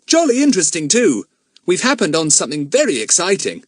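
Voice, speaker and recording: An eager young boy from a storybook adventure, acted out by a man. The voice is lifted high and emphatic, confident yet well-mannered, with a dry close sound. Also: huge pitch swings, brisk, no room sound, full character voice. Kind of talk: audiobook character voice.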